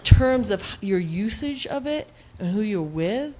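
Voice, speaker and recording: A woman's voice: mid-range voice, lively intonation, measured pace, a little mellow. A woman with a Boston accent, asking a question from the audience in a chatty, self-deprecating way. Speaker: audience member.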